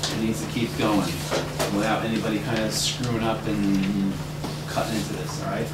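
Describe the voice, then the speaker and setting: A man leading a group, giving informal, directive instructions and repeating himself to be clear. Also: lowish voice, flat delivery, moderate pace. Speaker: reading group leader, teacher. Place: indoor room with a group. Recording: echoey room, far mic, noisy hum.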